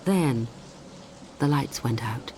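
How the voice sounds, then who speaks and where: A woman reading a suspense story as an audiobook narrator, in an expressive, measured storytelling manner. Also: a bass voice, a little hiss or hum.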